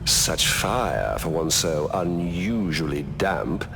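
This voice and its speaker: A man's voice: low-pitched, sing-song, slow pace, creaky, noisy background, full character voice. A man playing a scheming, arrogant trickster god-king in a video game, pompous and mocking. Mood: smug, mocking.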